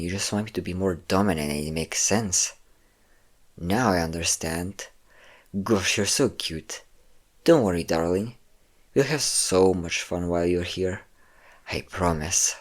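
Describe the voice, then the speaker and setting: A man playing a possessive, domineering vampire lover, teasing and commanding the listener in an intimate audio roleplay. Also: sits low. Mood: teasing, smug. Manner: teasing, commanding, breathy whispers at times.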